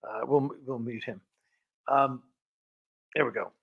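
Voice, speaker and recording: A man's voice: mid-range voice, steady tone, slow pace, gravelly, muffled recording. A man hosting a casual livestream, chatting in a rambling, informal way. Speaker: host of a fan production livestream. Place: film set of a starship bridge.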